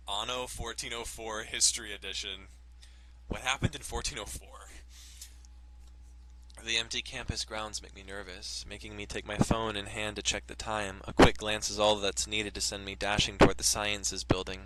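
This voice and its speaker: A man's voice: lowish voice, steady tone, moderate pace, bright, forceful tone, a little hiss or hum, poor audio. A man hosting a let's play, reading game text aloud and cracking crude jokes between lines. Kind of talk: casual gameplay commentary and reading aloud.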